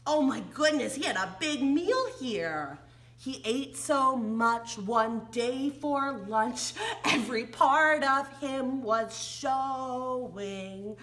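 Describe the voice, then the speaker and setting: Animated, high-voiced American woman teaching young children. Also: takes it slowly. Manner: exaggerated, playful delivery with gasps and questions to small children. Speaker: children's read-along and sing-along teacher. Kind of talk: interactive storytelling and sing-song reading for young children.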